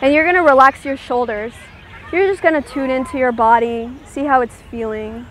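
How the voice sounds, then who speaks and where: A woman leading a guided meditation, giving gentle, soothing instructions and checking in with her listeners. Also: sits high, moderate pace, slight background noise.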